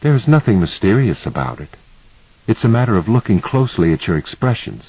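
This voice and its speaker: A man plays a self-assured woman mentor in an audiobook novel. The delivery is instructive and matter-of-fact, low and husky, on a slightly muffled recording. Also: sing-song, fairly quick, dry recording. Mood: firm, matter-of-fact. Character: calm, assured woman mentor, gently insistent.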